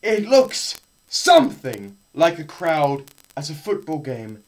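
A young man giving a dramatised science lecture, theatrical, swinging between shouts and hushed murmurs, with pauses and repetition for effect. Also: fairly high pitch, very animated. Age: young adult. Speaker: presenter. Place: small bare indoor room.

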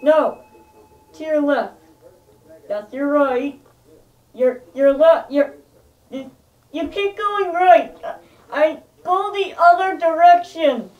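Boy as exasperated puppet chef, high and slow, recorded from a distance. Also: dull audio. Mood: scolding. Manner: exasperated, scolding. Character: bossy impatient puppet chef.

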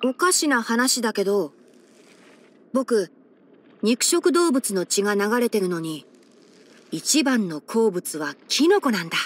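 A woman voicing a clever, gentle young male fox-eared ranger chief in a video game, with a light boyish tone and measured, softly wry, articulate delivery. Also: on the high side, animated. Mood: matter-of-fact. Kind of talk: Japanese game character voice-over.

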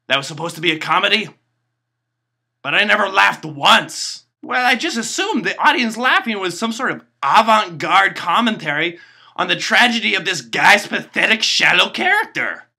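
A man doing a raspy dim puppet host. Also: high voice, pushed and bright, full character voice. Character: naive self-important male puppet host, cheerfully dim. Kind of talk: comedic puppet show monologue. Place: indoor bedroom.

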